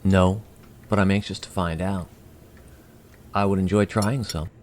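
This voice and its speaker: A man playing a polite, curious alien emissary in an audio drama, with a gravelly voice and formal, measured, precise speech. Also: low voice, fairly even intonation, darkish tone.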